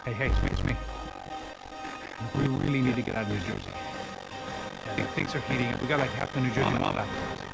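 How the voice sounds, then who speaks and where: A man's voice: medium pitch, fast, gravelly, pauses often, rough recording. A man playing an agitated American buddy on the run in a video game, stammering and repeating his words. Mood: anxious, pleading. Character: jittery, panicked male buddy on the run. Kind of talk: video game cutscene acting. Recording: loud music over dialogue.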